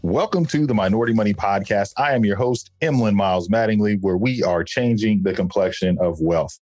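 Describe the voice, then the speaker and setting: A man's voice: on the low side, a little fast, darkish tone, no room sound, faint music behind. A man hosting an interview podcast, chatting in an upbeat, friendly and loose way. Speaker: podcast host. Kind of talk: casual interview chat.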